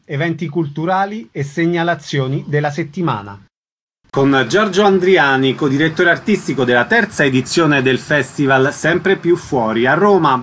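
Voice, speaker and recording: A man hosting a radio arts programme, announcing and asking questions in a concise, announcer-like way. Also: fairly high pitch. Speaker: radio host, interviewer. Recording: faint background noise.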